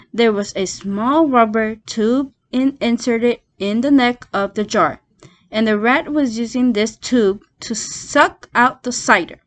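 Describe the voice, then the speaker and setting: A woman reading a children's storybook aloud as its narrator. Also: a little high. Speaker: storybook reader.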